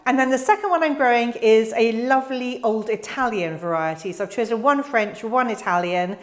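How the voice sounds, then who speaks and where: A woman's voice: fairly high pitch, a little fast. A young British woman hosting her own gardening channel, explaining tomato varieties in a friendly, chatty way with the odd filler. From United Kingdom. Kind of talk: casual instructional voice-over.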